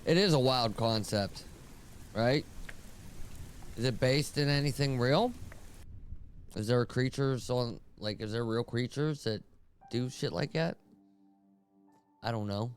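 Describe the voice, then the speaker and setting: A man hosting a casual game playthrough, commenting informally and thinking out loud. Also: medium pitch, takes it slowly, long pauses. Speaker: gaming channel host. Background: game music.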